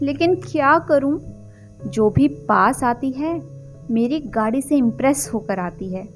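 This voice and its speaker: Woman voicing a high-pitched wistful rich young man. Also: animated, measured pace, faint music behind. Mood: wistful, complaining. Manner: casual buddy talk, sighing and wistful.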